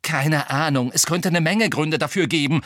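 A man voicing a hot-tempered, desperate teenage wizard boy in an audiobook, loud, urgent and insistent. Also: on the high side, lively intonation, normal speed, dry recording, clean studio sound, pitched above the performer's own voice. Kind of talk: audiobook character acting.

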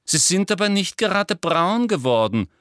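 A man voicing a prim, falsely sweet middle-aged female official in an audiobook, slow, officious and condescending. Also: high voice, sing-song, a bit bright, no room sound, clean studio sound, pitched above the performer's own voice. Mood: cold, officious. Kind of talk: audiobook character voice.

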